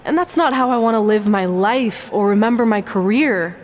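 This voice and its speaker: An actress playing a seasoned champion wrestler in a video game, speaking in a measured, sincere, wistful way. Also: female voice, medium pitch, animated, slight background noise, natural voice. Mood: reflective, resolved. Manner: measured, reflective, deliberate. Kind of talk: video game cutscene voice acting.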